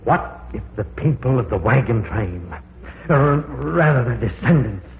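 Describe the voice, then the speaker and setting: A man playing a grave, learned professor in an old radio horror drama, speaking in a deliberate, theatrical, explanatory way. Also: mid-range voice, lively intonation, gravelly. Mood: grave, speculative. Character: learned, grave male professor exploring dark caverns. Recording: noisy, tinny, echoey old radio recording.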